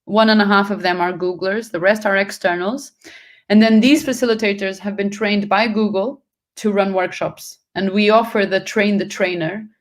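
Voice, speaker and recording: A woman's voice: mid-range voice, fairly even intonation, moderate pace. Brief: woman raised in Portugal, English as a second language, earnest panel guest, mid-range voice, self-correcting. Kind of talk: panel discussion answers.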